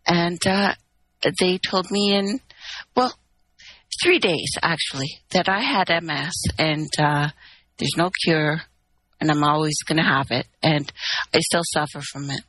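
A woman's voice: low-pitched, a little slow, a bit bright, no echo, poor audio. A woman from Canada, telling a long personal story in a candid, rambling, wry way on a call-in chat. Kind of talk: casual phone-in chat.

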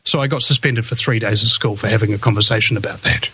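A man's voice: on the low side, fast, bright, forceful tone, husky, rough recording. A man hosting his own show, ranting defiantly in a solo monologue full of fillers and restarts, with a somewhat whiny voice. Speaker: podcast host.

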